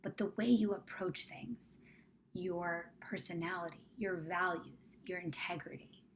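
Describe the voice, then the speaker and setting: A woman with a slightly low voice guides a meditation. She speaks in a measured, gentle and often hushed manner, giving soothing instructions. There is faint noise in the recording. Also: fairly even intonation, low-quality recording. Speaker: meditation guide, company founder.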